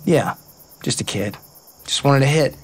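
An actor playing a playful, sincere baseball player, casual and earnest in a naturalistic audio drama. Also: male voice, mid-range voice, animated, a little slow, breathy edge. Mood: nostalgic, sincere.

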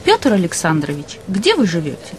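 A woman reading a scripted language course in a measured, carefully enunciated way. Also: on the low side, wildly swooping pitch, darkish tone, a little hiss or hum. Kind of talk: scripted language lesson, slow clear reading.

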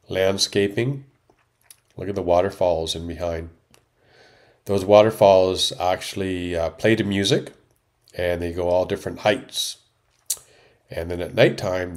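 Low-voiced Canadian-born male travel vlogger in his fifties, stuffy nose, keeps calling viewers guys. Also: measured pace, long pauses, darkish tone. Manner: chatty, addresses viewers as "guys". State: stuffed up from a cold. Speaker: vlog host. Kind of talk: travel vlog voiceover and chat.

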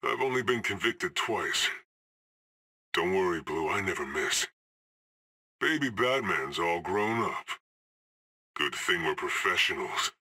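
A man's voice: sits low, expressive, takes it slowly, long pauses, sharp tone, breathy edge, full character voice. An actor playing a cocky, cool male hired assassin in a video game, trading dry, sardonic one-liners.

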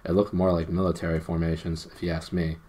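A man's voice: low voice, little pitch movement, fast talker, mellow, voice pushed down. A man voicing a gloomy, cautious marsh-wiggle who talks suspiciously. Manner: suspicious, grumbling.

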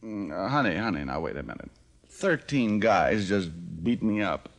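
Wisecracking private detective, American, flippant and dry, low lively voice, vintage radio play. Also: male voice, moderate pace. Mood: sheepish, wry. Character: wisecracking, down-on-his-luck private detective man. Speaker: lead actor in a radio detective series. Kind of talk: 1940s-50s radio detective acting.

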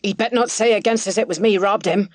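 A woman's voice: lowish voice, brisk, warm tone, dry recording, lightly acted. A woman voicing a touchy male pub drinker in a storybook, grudging and defensive. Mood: indignant, defensive.

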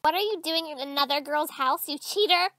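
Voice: high voice, fairly quick, bright, forceful tone, no room sound, clean studio sound. A performer with a female-sounding voice playing a bossy, vain valley-girl teenager, whiny and dramatic, drawing out words. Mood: accusing.

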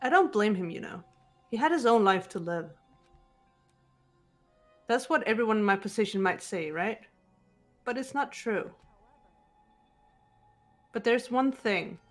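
A guarded teenage detective girl, played by a woman, speaks with measured seriousness in a video game. Her pitch sits at an ordinary level for a woman, and music plays behind her. She sounds composed and deliberate, with bitterness held in check. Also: expressive, close to an everyday voice. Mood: restrained bitterness. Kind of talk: amateur video game voice acting. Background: background music.

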